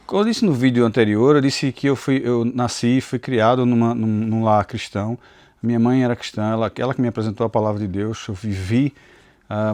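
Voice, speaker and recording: A man's voice: medium pitch, a little mellow, no echo. A man with a mixed Recife and inland Pernambuco accent, giving an earnest, confessional devotional talk as a channel host, recorded on a phone camera. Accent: Recife, Brazil, mixed with inland Pernambuco. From Northeast Brazil. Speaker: Bible teacher and channel host.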